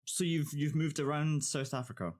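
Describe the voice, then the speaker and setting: A woman's voice: low-pitched, normal speed, a little mellow. A woman hosting a podcast interview, attentive and giving short backchannels. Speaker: podcast host.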